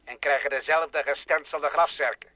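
An actor playing a cold, boastful thinking machine that scorns humans, in solemn declamatory radio play style. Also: male voice, on the high side, steady tone, moderate pace, pushed and bright, dry recording. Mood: coldly prophetic. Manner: solemn, pompous, rhetorical.

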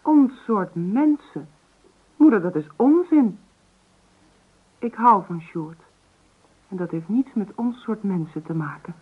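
A woman's voice: mid-range voice, expressive, slow pace, long pauses, slightly rough. An actress playing an emotional, defiant young woman in love in a Dutch radio play. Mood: defiant, earnest. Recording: tinny, noisy old radio recording.

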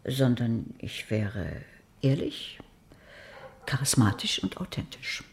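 A woman's voice: very deep voice, big pitch swings, slow pace, creaky, full character voice. An actress playing a sceptical older woman in a German radio crime drama, speaking in a dry, blunt, sardonic way. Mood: wry.

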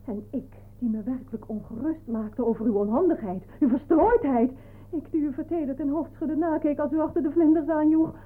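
An actress playing a coy, gullible, fretful office spinster in a radio play, theatrical and easily flustered. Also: female voice, high voice, brisk, lightly acted. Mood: hurt. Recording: narrow, tinny old radio recording.

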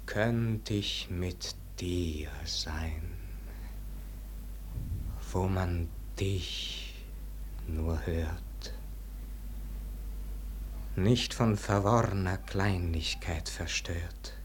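Exalted, brooding dying nobleman, declamatory verse delivery, very slow, vintage radio drama with faint noise. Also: deep voice. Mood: hushed longing. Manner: declamatory, theatrical, lingering over verse. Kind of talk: 1940s-50s German radio verse drama acting.

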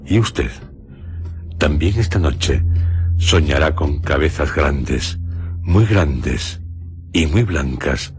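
A man with an extremely deep voice hosts and narrates a radio drama. He takes his time, placing short literary phrases with deliberate, suspenseful pauses. Faint music plays behind him. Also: animated.

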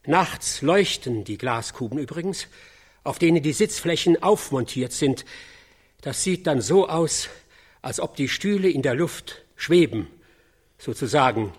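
A solemn news guy on location, husky highish voice, halting phrases, radio play. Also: animated. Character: solemn American field reporter with a hushed sense of drama. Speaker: field reporter. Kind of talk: acted on-location news report in a radio drama.

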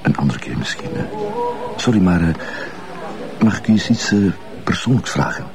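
An actor playing a polite, awkward, eager junior police colleague with a Flemish accent and a hesitant, deferential manner in a television crime drama. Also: male voice, low voice, very animated, normal speed, music in the background.

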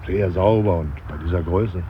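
A man's voice: low-pitched, normal speed, natural voice. An actor playing a blunt, opinionated funeral mourner who chats and breaks off his sentences, in a naturalistic radio play. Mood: opinionated, indignant. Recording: dull 1970s radio, faint outdoor noise.

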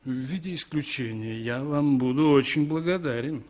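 An actor in a 1960s Soviet radio play as a self-important, pushy car owner, pompous and officious. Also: male voice, mid-range voice, moderate pace, a little mellow, close to an everyday voice.

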